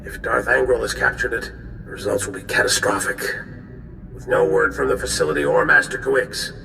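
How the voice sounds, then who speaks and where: An actor playing a grave Republic general in a video game, gravelly and measured in his commands. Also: medium pitch. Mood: stern. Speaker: military commander giving mission briefing. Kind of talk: video game cutscene acting. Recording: roomy echo, loud music behind.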